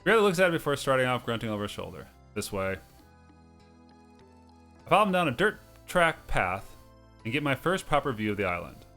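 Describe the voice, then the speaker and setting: A man's voice: mid-range voice, sing-song, a little fast, long pauses, pushed and bright, faint music behind. A man hosting a video game playthrough, commenting and reading on-screen text aloud, sometimes stumbling over words.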